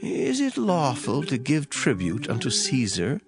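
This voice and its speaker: An actor playing a crafty, flattering male follower of the Pharisees in a dramatized scripture reading, with an unctuous, theatrical manner. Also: medium pitch, a little slow, gravelly, music in the background, heavily acted. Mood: sly flattery.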